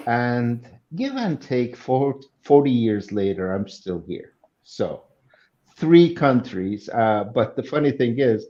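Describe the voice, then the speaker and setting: A man in his sixties from Turkey, a guest telling his story slowly and in measured phrases on a video call. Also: medium pitch, warm tone, dull audio. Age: 60s. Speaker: guest speaker. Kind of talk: online interview.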